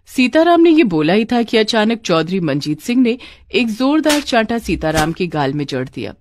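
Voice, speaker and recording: Woman, story narrator, lively intonation, medium pitch, scripted delivery, faint noise behind. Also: moderate pace.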